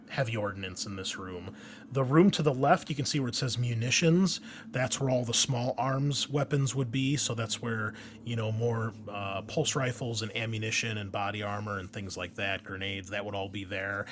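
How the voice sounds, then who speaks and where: A man narrating a tabletop roleplaying game as game master, explaining things in a conversational way. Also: mid-range voice. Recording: clear background noise and ambience.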